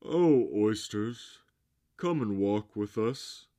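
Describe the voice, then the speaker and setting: A man voicing a pompous, coaxing walrus from a storybook, with a grand, drawn-out, theatrical delivery. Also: fairly low pitch, lively intonation, warm tone, pitched above the performer's own voice. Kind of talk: storybook character reading.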